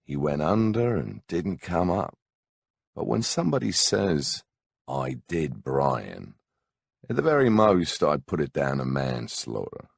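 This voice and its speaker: Very deep, gravelly man narrating a memoir. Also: sing-song, measured pace, no echo, clean studio sound. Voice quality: gravelly, husky low voice. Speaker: audiobook narrator. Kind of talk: memoir audiobook narration in a conversational, storytelling way.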